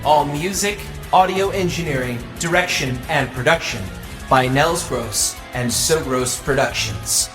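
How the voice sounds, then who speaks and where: A man reading end credits in a slow, steady manner. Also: a little high, reverberant, music in the background, poor audio. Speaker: credits announcer.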